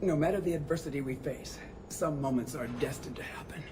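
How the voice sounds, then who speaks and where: An actress playing a wise, steadfast alien female leader in a video game, speaking in a measured, eloquent way. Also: deep voice, lightly acted. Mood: calm, assured. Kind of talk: video game cutscene acting. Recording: loud music behind the voice.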